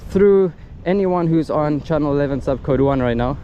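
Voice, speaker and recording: A man's voice: on the high side, normal speed, lo-fi sound. A man giving a casual hands-on product demonstration, explaining things step by step as he goes, recorded outdoors. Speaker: gadget reviewer. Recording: loud wind and outdoor ambient noise.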